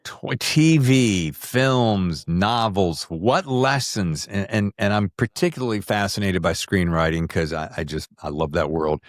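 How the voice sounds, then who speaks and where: A man's voice: deep voice, a little slow, hardly any pauses, dry recording, clean studio sound. A man hosting a podcast interview, asking questions casually with jokey, emphatic phrasing.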